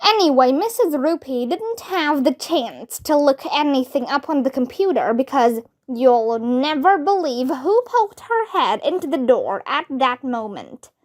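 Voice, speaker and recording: A woman reading a children's book aloud in an animated, expressive way. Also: high-pitched, a little slow, few pauses. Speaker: storybook reader.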